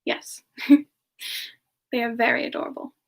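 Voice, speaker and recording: A woman playing a playful, gentle female demon character, soft-spoken and giggly in a casual semi-ASMR live chat. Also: on the high side, level tone, unhurried, creaky. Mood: playful, relaxed. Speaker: streamer answering chat and taking song requests.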